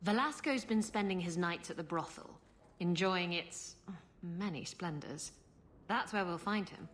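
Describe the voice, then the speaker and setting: A woman's voice: on the low side, measured pace, fairly bright tone, a little hiss or hum. An actress playing a roguish, scheming adventurer woman in a video game, with a playful, theatrical manner.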